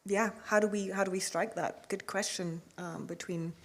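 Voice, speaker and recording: A woman's voice: mid-range voice, steady tone, normal speed. A woman answering as a panelist, thoughtful and weighing both sides.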